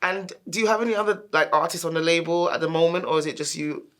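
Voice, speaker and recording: A host interviewing a guest in a chatty way, with fillers and quick follow-up questions. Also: mid-range voice, fairly bright tone.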